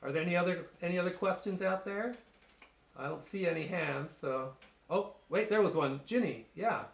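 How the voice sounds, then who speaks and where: A middle-aged man with a fairly high voice talks on a video call and answers questions thoughtfully, restarting as he looks for the right words. The audio is dull and lo-fi. It echoes in the room. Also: moderate pace, gravelly. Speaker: literary translator and poet. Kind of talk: answering questions at an online reading.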